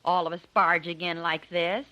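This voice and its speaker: A woman's voice: on the low side, level tone, normal speed, bright, forceful tone. An actress playing an unimpressed, wisecracking woman with a tart, dry delivery in a 1950s radio comedy. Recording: very noisy old radio recording, clicks.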